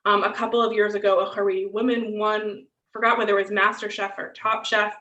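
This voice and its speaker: Bright-voiced woman lecturing over a video call. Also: mid-range voice, little pitch movement, a little fast. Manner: conversational, frequent "um" and "right?" tags. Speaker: guest lecturer. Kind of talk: online lecture with Q&A. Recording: distant, roomy, dull video-call audio.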